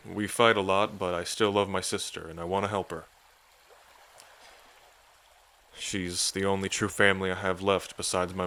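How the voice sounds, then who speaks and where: A man's voice: low voice, sharp tone, a little hiss or hum. A man playing an affectionate dragon king in an intimate ASMR roleplay, speaking in a casual, tender way to a lover. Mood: candid, wry. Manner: tender, casual, hesitant when moved.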